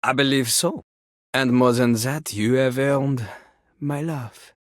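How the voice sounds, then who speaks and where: An actor playing a boastful French talking toilet in a video game, a pompous, theatrical rapper with a French accent. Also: male voice, mid-range voice, slow, no echo, studio quality, lightly acted. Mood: solemn respect. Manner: pompous, theatrical, refers to himself in third person. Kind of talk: video game character acting.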